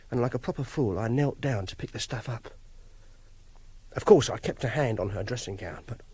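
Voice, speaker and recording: A man's voice: mid-range voice, no room sound. An actor playing a socially awkward, obsessive young Englishman in a radio drama, hesitant and defensive. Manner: awkward, defensive, fussily self-justifying. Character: socially awkward, obsessive young man holding a woman captive. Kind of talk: radio drama acting and first-person narration.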